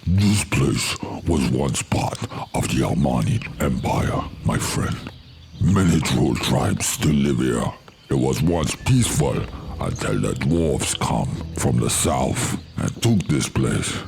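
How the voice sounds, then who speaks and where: A man's voice: extremely low pitch, expressive, takes it slowly, darkish tone, slight background noise, full character voice. A man playing a proud, vengeful troll leader in a fantasy game. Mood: grave, resolute. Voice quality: gravelly. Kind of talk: fantasy game cutscene acting.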